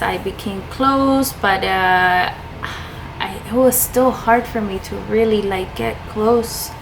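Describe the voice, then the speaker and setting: Hesitant young woman, gravelly voice. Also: medium pitch, background noise. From Chile. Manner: hesitant, many fillers and restarts. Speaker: vlogger. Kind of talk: personal confessional vlog.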